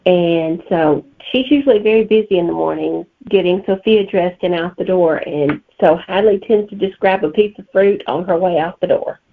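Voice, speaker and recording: A woman's voice: on the low side, moderate pace, hardly any pauses, a little hiss or hum. A middle-aged or older woman from Alabama with a Southern accent, answering interview questions as a guest author. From Alabama, United States. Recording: tinny phone-like line.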